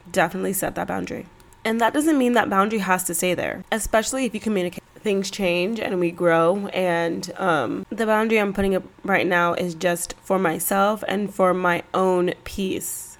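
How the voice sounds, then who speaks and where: Stuffy-nosed woman with a gravelly edge. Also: medium pitch. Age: 30s. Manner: chatty, rambling, slangy, laughs between thoughts. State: stuffy from a cold. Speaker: podcast host. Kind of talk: casual solo podcast chat.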